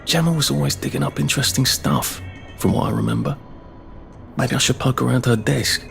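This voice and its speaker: A man's voice: mid-range voice, fairly quick, vocal fry, a bit bright, faint music behind. An actor playing a mild, curious young Englishman in a video game, muttering hesitantly and wryly to himself with an English accent. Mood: puzzled musing.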